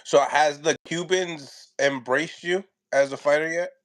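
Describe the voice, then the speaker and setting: A man's voice: a little high, unhurried. Casual male boxing interviewer. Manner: relaxed, chatty, agrees with short "facts".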